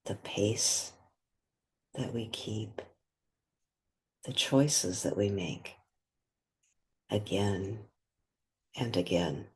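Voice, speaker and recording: A woman guides a meditation in a soft, airy voice with a gentle breathiness. She moves very slowly, leaving long pauses between short, calm phrases. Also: extremely low pitch, husky.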